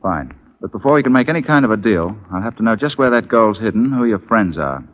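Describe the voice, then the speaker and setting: Low, unruffled Union captain, muffled radio. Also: male voice, big pitch swings, fast talker. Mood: dry, unruffled. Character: confident, wry Union army captain. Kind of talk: 1940s radio acting. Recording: muffled 1940s radio recording.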